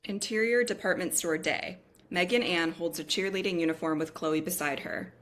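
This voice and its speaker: Lower-pitched woman reading screenplay directions. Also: moderate pace, fairly bright tone. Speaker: stage-direction reader at a table read. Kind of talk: scripted narration of screenplay directions.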